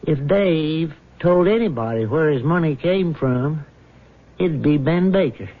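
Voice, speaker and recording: Highish-voiced sly small-town crook. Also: male voice, expressive, measured pace, narrow, tinny audio. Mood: scheming. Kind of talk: radio drama acting. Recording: thin band-limited old radio recording.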